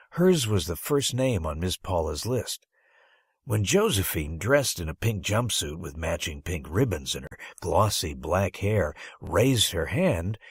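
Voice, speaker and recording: A man's voice: deep voice, husky, no echo, clean studio sound. A man reading a first-person story as an audiobook narrator, with dramatic phrasing and strong emphasis.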